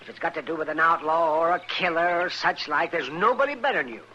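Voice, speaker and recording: An American actor playing a crotchety, excitable but good-hearted town doctor in a radio western, with a folksy, hemming delivery. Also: male voice, fairly high pitch, quick pace, muffled recording. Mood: indignant. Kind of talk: old-time radio western acting. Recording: old radio broadcast with background hiss.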